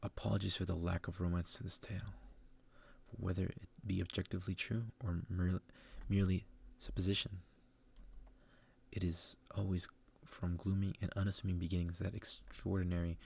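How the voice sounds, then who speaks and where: A man reading a story aloud in a soft, subdued ASMR style, unhurried and gravelly. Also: level tone. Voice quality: low, gravelly, soft-spoken. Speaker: ASMR reader.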